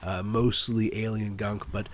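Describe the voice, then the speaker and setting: A man's voice: lowish voice, little pitch movement, a little slow. A man with a stuffy, nasal voice from the flu, casually commentating a strategy game replay and rambling with frequent fillers. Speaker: game caster.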